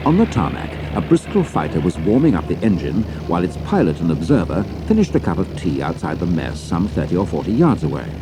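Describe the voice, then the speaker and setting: A man's voice: sits low, sing-song, fairly quick, few pauses, music in the background. A man with a British accent reading scripted adventure narration in a dramatic storytelling style.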